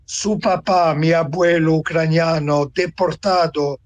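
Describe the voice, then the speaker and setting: An elderly man in his seventies, from Tunisia, reflects on his life as a guest. His voice sits a little high, and he speaks slowly, pausing to choose his words. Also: steady tone. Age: 70s. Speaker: interview guest, author and translator. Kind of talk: reflective personal account in an interview.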